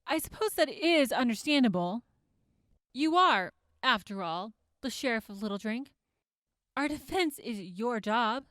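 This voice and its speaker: A composed prosecutor in a radio drama. Her voice is high and smooth, and she takes her time, putting each formal question with a pointed edge. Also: animated, a little slow, a bit bright, no room sound, clean studio sound. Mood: probing, measured. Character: composed, probing woman prosecutor in a wasteland town court. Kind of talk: audio drama courtroom acting.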